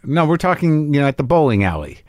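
A man's voice: fairly high pitch, sing-song, quick pace, a little mellow, dry recording. Man, 50s, podcast host, casual interview, teasing, blunt, wisecracking, dry close mic.

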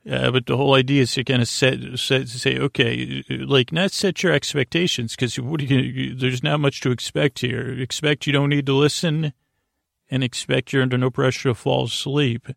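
Gravelly man rambling drowsily through bedtime monologue. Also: mid-range voice, flat delivery, fairly bright tone, dry recording, studio quality. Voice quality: creaky, gravelly. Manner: meandering, halting, trailing off mid-thought. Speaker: podcast host.

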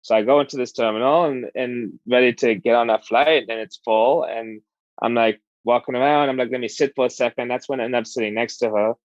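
A man in his thirties casually telling his own love story in a relaxed, rambling way, with plenty of fillers. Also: mid-range voice, a little fast, no room sound, lo-fi sound. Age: 30s. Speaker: interviewee.

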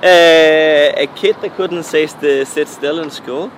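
A young man from Denmark, a DJ and music producer, answering interview questions in halting, filler-heavy English with a Danish accent. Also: on the high side, slight background noise. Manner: halting non-native English, frequent fillers.

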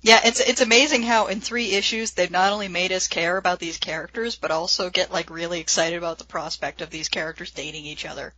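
Bright-voiced woman podcast co-host. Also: fairly low pitch, steady tone, brisk, hardly any pauses, no echo, low-quality recording. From Canada. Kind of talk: casual comic book discussion.

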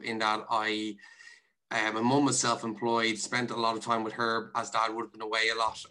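Irish male podcast guest, bright forward voice, level intonation, reflective and measured. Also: on the low side, low-quality recording. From Ireland. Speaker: podcast guest, investment and finance director. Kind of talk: conversational interview answers.

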